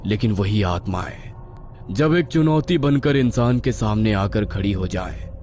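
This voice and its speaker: Mellow man narrating suspense with lively pitch. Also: unhurried, slight background noise. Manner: suspenseful, dramatic. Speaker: horror story narrator. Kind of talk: scripted dramatic Hindi story narration.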